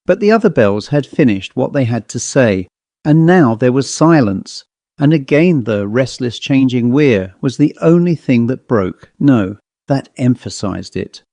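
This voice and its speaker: A man reading a ghost story as an audiobook narrator, in a measured, literary storytelling manner. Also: mid-range voice, mellow, no echo.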